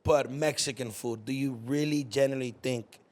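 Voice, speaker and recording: A young man from California hosting a casual podcast chat, animated and teasing, swearing freely. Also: medium pitch. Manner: animated, swearing, quick to tease. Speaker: podcast host.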